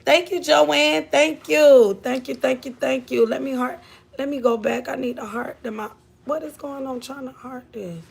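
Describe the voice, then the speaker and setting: A high-pitched woman from Florida with a Southern drawl hosts a livestream. Her voice has a gravelly, fry-laden edge. She talks in a loose, chatty way, drifting from topic to topic. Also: steady tone, normal speed. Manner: chatty, drawling, scolds her kids off-mic. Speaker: livestream host. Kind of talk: casual live chat.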